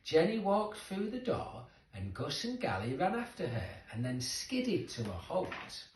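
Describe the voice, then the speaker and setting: Animated male storyteller in an echoey room. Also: fairly high pitch, unhurried. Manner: expressive, dramatic emphasis for young listeners. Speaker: storybook reader. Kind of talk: children's story narration. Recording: roomy echo, distant microphone.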